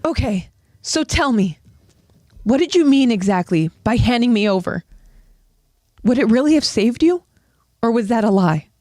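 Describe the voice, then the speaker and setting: A woman voicing an earnest, insistent young heroine in an animated story, emotional and pleading. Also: a little high, moderate pace, pauses often, no echo, studio quality, close to an everyday voice. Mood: questioning, pleading.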